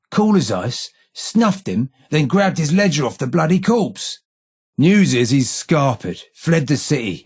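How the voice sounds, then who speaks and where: Husky, gravelly old London informer. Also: male voice, fairly high pitch, a little mellow. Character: blind old male London gang informer, weary and guilt-ridden. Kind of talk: video game character dialogue.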